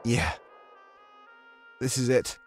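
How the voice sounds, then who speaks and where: A man's voice: drawn-out words, soft background music. A man voicing a young, earnest and confident rebel leader in a fantasy visual novel read aloud. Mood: resolute.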